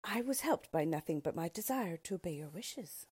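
A woman's voice: on the low side, lively intonation, moderate pace, darkish tone, breathy edge, dry recording. A woman reading the part of a devoted, courteous young male ambassador. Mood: earnest. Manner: earnest, courteous.

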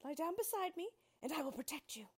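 A woman voicing a brave male scarecrow who speaks in a high, eager voice. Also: big pitch swings, a little fast. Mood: protective.

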